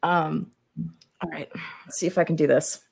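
A woman's voice: low-pitched, fairly even intonation, moderate pace, gravelly, a little mellow, husky. A woman chatting casually as a podcast guest, with a playful, theatrical manner.

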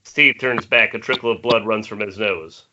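A man's voice: lowish voice, normal speed, crowd noise. A man reading stage directions as scripted narration in an amateur screenplay table read. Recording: dull, noisy internet call with clicks.

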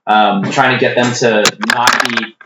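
A man's voice: medium pitch, fairly even intonation, measured pace, heavy background noise. Rambling male host in a roomy room. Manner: rambling, heavy on "like" and "um". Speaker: podcast host. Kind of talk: casual chat. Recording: roomy, distant, dull.

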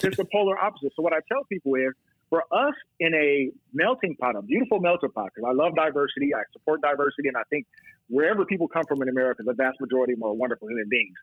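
A man talking about policing as a podcast guest, telling stories fast and emphatically with quoted exchanges. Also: a little high, expressive, husky, no room sound. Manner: fast, emphatic storytelling with quoted back-and-forth. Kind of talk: casual podcast chat. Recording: tinny phone-line audio.

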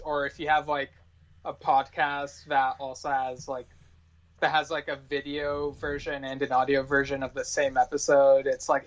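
A man chatting casually as a podcast guest, halting and full of verbal filler. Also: a little high, fairly bright tone, highs cut off. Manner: halting, peppers talk with "like".

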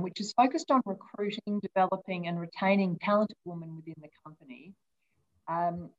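A woman hosting a leadership podcast, reading her intro and asking earnest, enthusiastic questions. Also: lowish voice. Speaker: podcast host and interviewer. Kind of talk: scripted intro then interview questions. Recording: dull, lo-fi sound.

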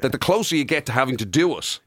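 Animated middle-aged Irish man, close dry sound. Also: mid-range voice, fast, dry recording. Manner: rambling observational storytelling. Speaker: radio show co-host. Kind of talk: casual comic banter.

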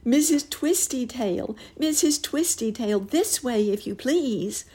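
A woman's voice: on the low side, lively intonation, takes it slowly, mellow, husky, pitched above the performer's own voice, full character voice. Fussy storybook housekeeper-nurse, read by a woman, fretting, sighing, lifted voice. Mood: calling out. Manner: fretful, sighing.

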